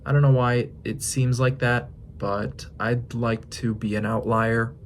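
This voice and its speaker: A man in his twenties talking through his thoughts in a casual vlog, musing with pauses and restarts. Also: mid-range voice, fairly even intonation, a little hiss or hum. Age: 20s. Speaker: fitness vlogger. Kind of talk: reflective casual vlog monologue.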